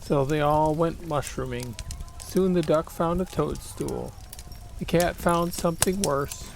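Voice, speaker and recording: Slow, creaky-voiced man reading a storybook. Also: on the high side, a little hiss or hum. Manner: deliberate, expressive storytelling. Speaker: storybook narrator. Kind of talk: children's picture-book read-aloud.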